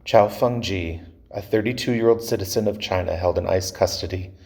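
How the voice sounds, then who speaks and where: A man's voice: deep voice, normal speed, darkish tone. A man giving a formal scripted memorial reading. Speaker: reader in an online remembrance video.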